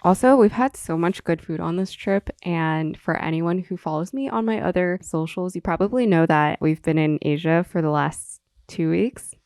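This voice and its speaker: A woman's voice: on the low side, moderate pace, few pauses, a little mellow, dry recording, studio quality. A young woman co-hosting a podcast in casual chat, whose first language was Chinese, talking in a chatty way with many fillers and frequent giggles. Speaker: podcast co-host.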